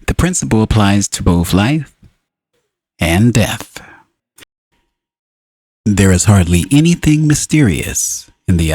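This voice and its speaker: A man narrating a book as an audiobook reader, in deliberate, solemn phrases broken by frequent pauses. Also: on the low side, darkish tone, husky, no echo.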